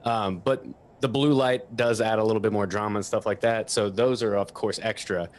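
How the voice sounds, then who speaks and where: A man with a fairly low voice chats casually from a small office. He wanders through his points in a loose, self-deprecating way, as a panelist would in an informal online session. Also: fairly even intonation, normal speed, a little hiss or hum. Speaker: panelist who teaches about microphones. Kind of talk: casual chat in a webinar.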